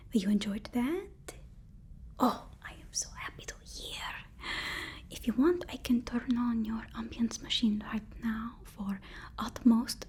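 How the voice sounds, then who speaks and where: A woman's voice: a little high, slightly rough, exaggerated voice. Whispering, fawning villain's assistant played by a woman. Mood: eager, fawning. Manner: deferential, flattering, hesitant, soft-spoken. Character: fawning, nervous female personal assistant to a super villain. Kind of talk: ASMR roleplay.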